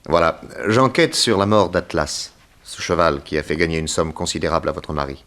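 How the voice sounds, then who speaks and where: A deep-voiced private detective in a vintage French radio play. He questions people with measured courtesy and quiet persistence. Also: lively intonation. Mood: probing, courteous. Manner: polite, measured, probing. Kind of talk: 1960s French radio drama acting.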